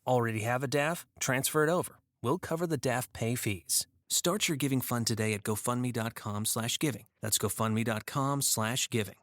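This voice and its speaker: Mid-pitched male ad announcer, dry. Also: moderate pace, few pauses, fairly bright tone, dry recording. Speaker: advert announcer. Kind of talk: scripted ad narration. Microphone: close studio microphone.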